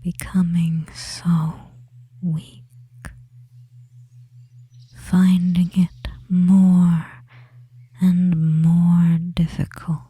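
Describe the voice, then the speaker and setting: Performer as a breathy, whispering, devious witch. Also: mid-range voice, flat delivery, heavily acted. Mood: soothing, dominant. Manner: very slow, hushed, hypnotic, with long pauses. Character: devious, dominant young femboy witch, soothing and possessive. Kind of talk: whispered ASMR hypnosis roleplay.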